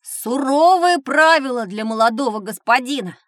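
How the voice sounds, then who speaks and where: A cheeky, inquisitive little boy in a children's audio play. The voice is high, eager and childlike, recorded very dry. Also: expressive, normal speed, fairly bright tone, no room sound. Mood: sympathetic. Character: curious, cheeky young boy in a children's tale.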